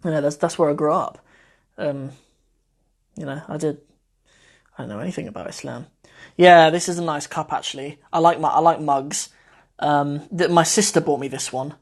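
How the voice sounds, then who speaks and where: Young man, twenties, laid-back teacher on a livestream, rambling and soft-trailing, speaking into a phone mic. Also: a little high, moderate pace, gravelly, pauses often. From Cornwall, England. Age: 20s. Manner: chatty and meandering, often trailing off softly. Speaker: Arabic teacher hosting a live Q&A. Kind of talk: casual livestream chat.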